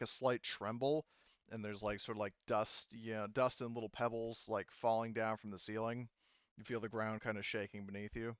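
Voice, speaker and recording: A man with a smooth, clear voice runs a tabletop game in a casual way. He explains things haltingly and conversationally, recorded close and dry with a slightly muffled top end. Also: lowish voice, a bit bright, dry recording. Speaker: game master. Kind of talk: casual tabletop game narration and rules talk.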